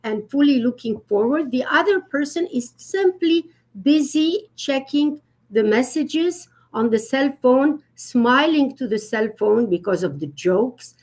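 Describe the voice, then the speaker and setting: Woman etiquette guest, higher voice, earnest and explanatory, pauses with fillers. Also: animated, low-quality recording. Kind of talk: conversational live-stream talk.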